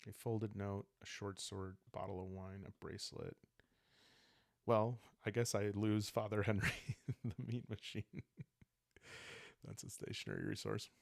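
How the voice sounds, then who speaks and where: A man calmly thinking aloud in an unhurried, casual way as he plays and narrates a solo roleplaying game. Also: lowish voice, gravelly, no room sound, studio quality. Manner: calm, unhurried, musing, meant for relaxed listening. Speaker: host and solo player of a journaling roleplaying game.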